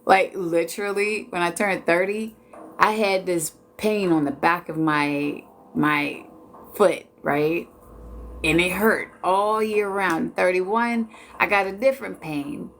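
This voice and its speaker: Slow, easygoing woman in her forties. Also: fairly low pitch, steady tone, a bit bright, slight background noise. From Washington D.C. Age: 40s. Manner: chatty, laid-back, joking. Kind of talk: casual chat with viewers.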